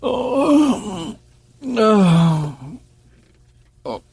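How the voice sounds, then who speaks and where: A man with an Ilocano accent playing a troubled married son in a radio drama, his voice gravelly and husky. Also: high-pitched, very animated, very slow, darkish tone, no room sound, soft background music, full character voice.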